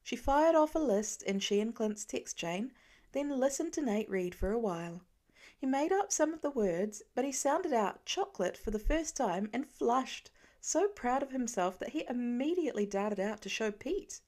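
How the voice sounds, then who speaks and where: Female story reader, higher voice, very animated intonation, scripted narration. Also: normal speed. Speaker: fan fiction audiobook reader.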